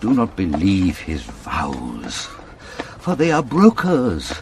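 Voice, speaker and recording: A man's voice: low-pitched, huge pitch swings, slow pace, background noise, full character voice. An actor with a British accent playing a pompous, fussy older father and counsellor, lecturing in classical Shakespearean style. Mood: stern, warning. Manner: declamatory, sententious, lecturing.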